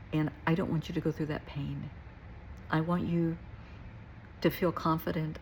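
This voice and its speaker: A woman's voice: deep voice, vocal fry, a little mellow, a little hiss or hum, low-quality recording. A middle-aged woman giving a reflective personal talk to listeners, earnest and emphatic, repeating short phrases for weight. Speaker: therapist and nurse.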